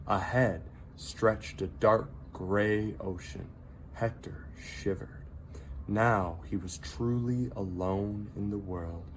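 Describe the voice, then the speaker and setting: A reader narrating a children's story aloud, with dramatic, drawn-out emphasis on key words. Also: sits low, fairly even intonation, slight background noise.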